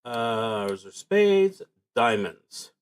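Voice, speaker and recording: A man talks through a solo tabletop game for a stream, thinking out loud as he goes. His pace is very slow and deliberate, with pauses in mid-sentence. His medium-pitched voice rises and falls widely. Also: big pitch swings, clear, resonant tone. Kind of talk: casual game livestream narration, thinking aloud.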